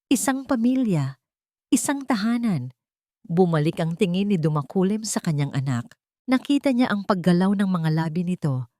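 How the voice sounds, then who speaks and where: A woman storyteller narrating a scripted dramatic audio story in deliberate, sentimental short phrases. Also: fairly low pitch, warm tone, dry recording, clean studio sound. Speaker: storyteller and channel host.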